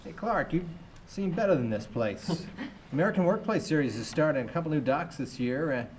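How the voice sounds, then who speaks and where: Quick-talking man playing friendly TV producer. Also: on the high side, animated, background noise, close to an everyday voice. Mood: friendly pitch. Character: brisk, friendly male television producer offering work. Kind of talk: sitcom table read.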